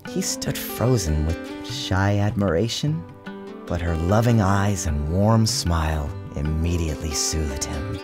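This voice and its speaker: A man giving a measured, theatrical storybook narration in an expressive, dramatic style. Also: low voice. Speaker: author reading his book aloud. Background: music behind the narration.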